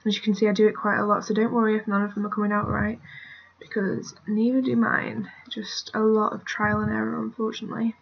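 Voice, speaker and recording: A woman's voice: medium pitch, monotone, fairly quick, vocal fry, hardly any pauses, highs cut off, a little hiss or hum. A British woman giving a casual, rambling drawing tutorial while she works, often trailing off into mutters and whispers, recorded on a computer mic at her family home. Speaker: art tutorial creator.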